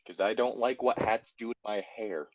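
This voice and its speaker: A man's voice: fairly low pitch, normal speed, darkish tone, no room sound, muffled recording. A man chatting casually as a co-host, teasing and swearing lightly as he comments. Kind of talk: casual banter.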